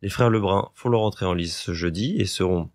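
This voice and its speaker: A young man presenting a student radio sports column, briskly listing upcoming fixtures and kickoff times. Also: low voice, no room sound, studio quality. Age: young adult. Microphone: studio microphone.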